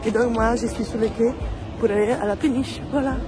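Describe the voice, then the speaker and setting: A woman's voice: fairly high pitch, steady tone, brisk, over music, crowd noise. A young woman in her twenties from Italy, speaking with an Italian accent and chatting casually as a vlogger in a language that is not her first, with many fillers. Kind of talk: casual vlog chat.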